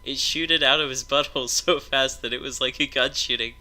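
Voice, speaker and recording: Male storytime narrator, very bright forceful tone, reading comedy aloud to friends. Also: mid-range voice, steady tone, a little fast.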